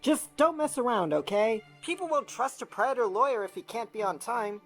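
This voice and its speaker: Female actor as strict animal legal mentor, exasperated and scolding, lively intonation, audio drama with music behind. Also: fairly high pitch. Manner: scolding, serious, brisk. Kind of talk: amateur audio drama acting. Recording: music bed under the voice.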